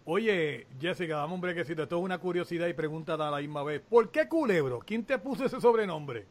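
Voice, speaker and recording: Male talk-show host, high-pitched, lively, fond of brisk asides. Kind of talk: hosting a casual interview show.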